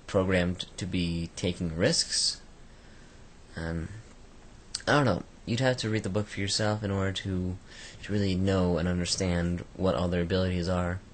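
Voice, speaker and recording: A man with a croaky voice giving a casual, rambling book review, full of fillers and restarts. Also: low-pitched, a little hiss or hum.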